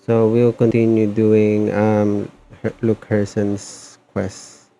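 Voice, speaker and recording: A man's voice: fairly low pitch, monotone, slow, dark tone, soft background music. A man hosting a casual gaming live stream, talking hesitantly and muttering to himself as he plays. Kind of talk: casual live stream chat while playing a game.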